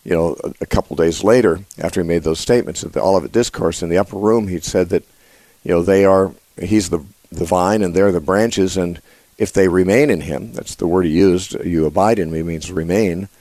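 A man hosting a live call-in Bible radio show, congested, talking haltingly with many fillers and restarts. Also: dark tone, no room sound. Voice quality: usually a high nasal voice. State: congested, voice lower than usual.

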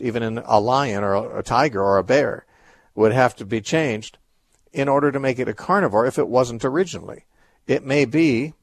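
A man's voice: mid-range voice, dry recording. A man hosting a radio call-in show, answering Bible questions in a thoughtful, explanatory way with frequent restarts.

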